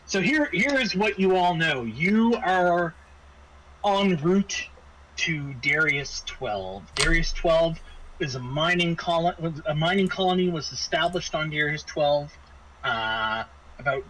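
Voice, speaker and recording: A man with a slightly high voice acts as game master, laying out a scenario for his players. He speaks slowly and haltingly, restarting phrases as he explains in a casual way. There is faint noise behind him. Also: animated, fairly bright tone, rough recording. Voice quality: halting delivery with frequent hesitations and restarts. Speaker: game master of a tabletop roleplaying session. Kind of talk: semi-improvised briefing to players, narrating a scenario.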